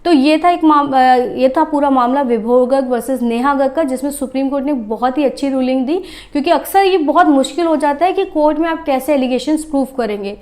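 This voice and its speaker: High-pitched woman explaining law. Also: fairly quick, hardly any pauses, darkish tone. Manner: mixes English legal terms into speech. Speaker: advocate presenting legal explainers. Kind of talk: explanatory legal commentary to viewers.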